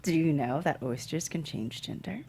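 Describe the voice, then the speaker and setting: A woman's voice: low-pitched, moderate pace, warm tone. An actress playing a quirky, naive, upbeat woman who shares odd trivia earnestly in a comedy table read. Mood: cheerful. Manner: earnest, rambling, giggly. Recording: clear background room noise.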